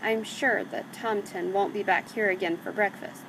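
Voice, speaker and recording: A woman voicing a gentle, trusting mother hen in a children's storybook read-aloud. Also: medium pitch, steady tone, normal speed, natural voice. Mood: grateful, puzzled. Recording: clearly noisy background.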